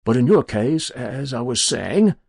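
Man playing a smooth, sinister, leisurely devil. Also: medium pitch, sing-song, measured pace, darkish tone, no echo, studio quality, pitched above the performer's own voice, heavily acted. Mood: slyly amused. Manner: easy, unctuous, mock-pious, precise diction. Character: smooth sinister devil posing as genteel businessman. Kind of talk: dramatic literary storytelling.

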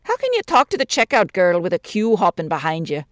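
A woman reading a story aloud, voicing a chatty, homely widow who misses the quiet of the country. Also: lowish voice, wildly swooping pitch, brisk, no echo. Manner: chatty, homely, warm-hearted talk.

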